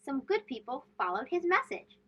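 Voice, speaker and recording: A woman's voice: high voice, very animated, normal speed, sharp tone. A woman hosting a children's read-aloud, telling storybook tales emphatically and putting questions to young listeners.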